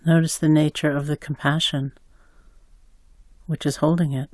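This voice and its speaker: Man leading a meditation, slow calm voice, long pauses, dry close sound. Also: fairly high pitch, dry recording. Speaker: meditation guide. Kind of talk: guided meditation.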